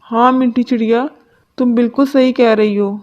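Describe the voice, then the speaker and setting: A woman's voice: on the high side, fairly even intonation, normal speed, darkish tone, highs cut off, lightly acted. A woman voicing a blunt, demanding, drunken male pigeon husband in a cartoon story. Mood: pleased. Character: drunken, abusive male pigeon husband, whiny when sick. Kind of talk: Hindi cartoon story dubbing.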